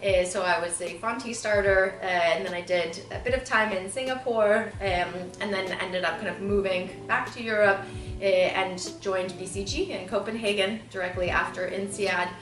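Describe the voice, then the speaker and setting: A woman's voice: medium pitch, moderate pace, fairly bright tone. A woman in her thirties from Southern California, answering interview questions in a conversational, explanatory way with frequent asides. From born in Bulgaria, grew up in Southern California. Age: 30s. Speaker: interview guest. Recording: roomy echo, distant mic, faint music.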